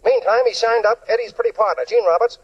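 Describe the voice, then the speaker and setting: Brash 1940s gossip broadcaster: rapid, husky, staccato, on muffled old radio. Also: male voice, very high voice. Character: brash, rapid-fire gossip columnist broadcasting his items.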